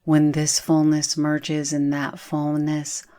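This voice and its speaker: A woman with a low voice guides a meditation. She speaks extremely slowly and soothingly. Long pauses separate her short phrases. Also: monotone, mellow.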